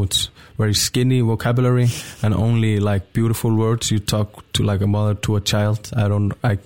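A young man, speaking in a somewhat low voice. He answers loosely and hesitantly, filling pauses with "uh" and "like". He sounds like a musician chatting on a radio interview. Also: a little mellow. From Portugal. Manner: hesitant, many fillers and restarts. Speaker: band member guest.

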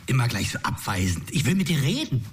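A man's voice: mid-range voice, wildly swooping pitch, fast. A smug young suitor who teases and won't take no for an answer. His voice sits mid-range for a man, delivered as an audiobook drama scene. Faint music runs underneath. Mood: smug teasing. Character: pushy young man courting his brother's girlfriend.

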